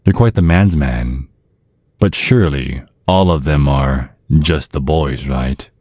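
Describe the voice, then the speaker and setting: Male actor as ancient vampire dad, extremely low voice, dry close sound, mock-courteous, insinuating, protective, ASMR roleplay. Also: slow, a little mellow, dry recording, heavily acted. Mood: veiled menace. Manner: mock-courteous, insinuating, teasing with veiled threats. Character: centuries-old vampire father, menacing, protective, slyly polite.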